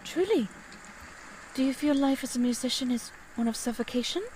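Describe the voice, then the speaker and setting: A woman plays a genteel young lady in a period audio drama, her voice pitched high. She is tender and sincere, with polite, formal, old-fashioned phrasing. Some noise sits behind her. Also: moderate pace, darkish tone, lightly acted, rough recording. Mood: earnest.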